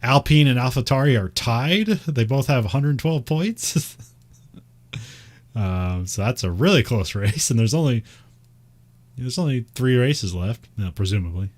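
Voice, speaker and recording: A man's voice: mid-range voice, expressive, a little slow. A man with a Midwestern American accent, chatting casually and rambling, with frequent laughs and fillers. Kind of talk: casual chat about racing.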